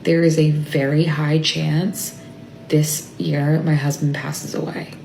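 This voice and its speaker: A woman talks slowly in a low, flat, gravelly voice, halting and tearful, her words often sinking to a whisper. Her tone is dark and subdued. She sounds like she is in an echoey room with a faint hum of ambience behind her. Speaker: mother sharing a personal update. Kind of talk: emotional confessional monologue.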